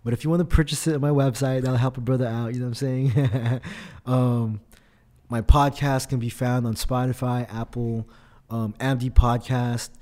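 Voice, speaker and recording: A man with Tagalog as his first language talks as a guest on a podcast. His voice is mellow and relaxed in tone. He chats casually in friendly slang, often tagging his sentences to check in with the listener. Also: medium pitch. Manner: slangy, friendly address with frequent tags. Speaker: podcast guest, indie hip-hop artist. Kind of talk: casual chat.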